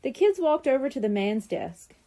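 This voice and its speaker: A woman narrating a children's storybook in an animated storytelling manner. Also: high-pitched, moderate pace. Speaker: audiobook narrator.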